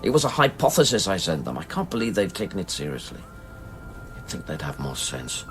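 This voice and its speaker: Radio play lead: brooding, haunted scientist, extremely deep voice, measured and intimate. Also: male voice, very animated, faint music behind. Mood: tense, defensive. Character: brooding, haunted man of science, tender yet irritable. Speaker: lead actor and first-person narrator. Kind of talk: radio play, intimate literary narration and dialogue.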